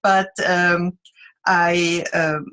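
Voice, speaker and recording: A middle-aged woman from Switzerland with a Swiss accent, telling her story as a guest speaker in a relaxed, unscripted way with halting restarts. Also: lowish voice. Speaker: guest speaker, sales consultant. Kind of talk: informal presentation on a group call.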